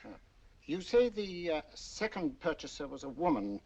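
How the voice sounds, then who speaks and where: An actor playing a smooth, scheming colonel in a 1940s film, speaking in a suave, hesitant way. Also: male voice, a little high, big pitch swings, moderate pace, darkish tone. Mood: probing. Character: smooth, scheming middle-aged male colonel. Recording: dull lo-fi old film soundtrack.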